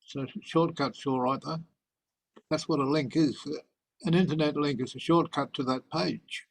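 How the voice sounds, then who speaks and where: Man, gravelly voice, matter-of-fact, casual discussion. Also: on the high side, steady tone, normal speed.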